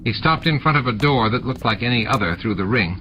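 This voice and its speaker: A man's voice: mid-range voice, animated, fairly quick, sharp tone, lo-fi sound. A man reading scripted story narration as an audiobook narrator. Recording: noisy audiobook with added sound effects. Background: added sound effects and ambience.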